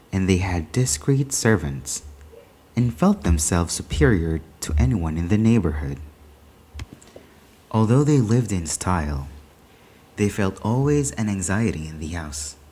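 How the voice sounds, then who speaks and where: A man reads a literary story aloud with deliberate drama. His voice sits low and has a dark, mellow tone, and he takes his time over each phrase. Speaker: story reader. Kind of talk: scripted short story read aloud.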